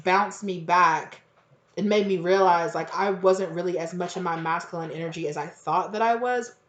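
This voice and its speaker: Hoarse woman, slightly low voice, casual rambling tarot chat, echoey dull room sound. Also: moderate pace. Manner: rambling, slangy, acts out quotes. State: hoarse, nearly losing her voice. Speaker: tarot reader. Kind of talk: casual channeled tarot reading.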